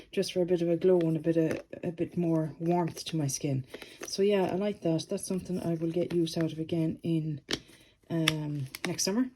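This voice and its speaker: A woman with a stuffy, snuffly voice from a cold, chatting casually through a product review with many ums. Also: lowish voice. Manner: relaxed and chatty, with frequent ums. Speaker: beauty vlogger. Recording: noisy home recording.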